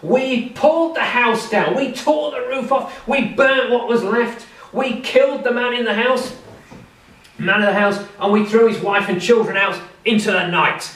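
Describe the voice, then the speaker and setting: A man voicing a cold, menacing captain of the royal guard, speaking in a calm, matter-of-fact, threatening way. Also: very high voice, normal speed, reverberant, far from the mic, pitched above the performer's own voice, poor audio.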